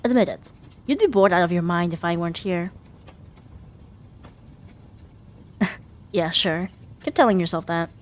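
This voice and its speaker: A woman playing a sharp-tongued young female rival in an ASMR roleplay, huffy and sarcastic but grudgingly caring. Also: lowish voice, close to an everyday voice. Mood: teasing. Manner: sarcastic, huffy, theatrical sighs and drawn-out jabs. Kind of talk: ASMR roleplay audio drama addressed to the listener.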